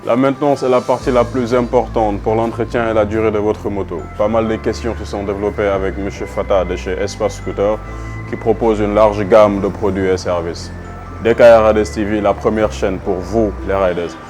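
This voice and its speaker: Low-voiced host over faint music. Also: male voice, little pitch movement, normal speed, a little mellow. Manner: promotional. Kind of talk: show outro.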